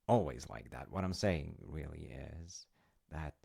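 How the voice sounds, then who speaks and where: Guy playing a wise gentle dad telling a story, soft mellow husky voice, super close and dry, pauses a lot. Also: expressive, no echo, exaggerated voice. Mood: warm, tender. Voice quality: very deep, gravelly, breathy. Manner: tender, hesitant, pausing between short phrases. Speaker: audio roleplay performer. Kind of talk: intimate audio roleplay, improvised-sounding storytelling.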